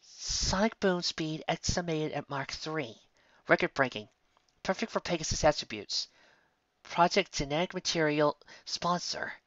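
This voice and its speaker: A man's voice: on the high side, unhurried, fairly bright tone, no room sound, muffled recording, pitched above the performer's own voice. A man voicing a scholarly young unicorn mare in a story, explaining and reading aloud in a careful, earnest way. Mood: reading, disturbed.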